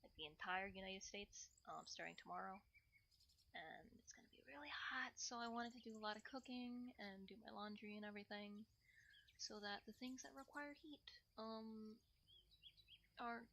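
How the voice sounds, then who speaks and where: A woman's voice: a little high, normal speed, gravelly, sharp tone, a little hiss or hum. A woman streamer talking informally to her viewers, rambling a little and thinking aloud. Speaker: streamer, channel host. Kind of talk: casual livestream chat with viewers.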